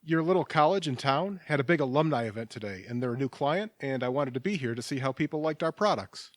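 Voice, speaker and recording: An actor playing a mild, kindly businessman in a naturalistic audio drama, talking in a gentle, measured, slightly hesitant way. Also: medium pitch, expressive, no echo, studio quality, natural voice. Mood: defensive, resigned. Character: mild, settled, kindly businessman, a patient listener.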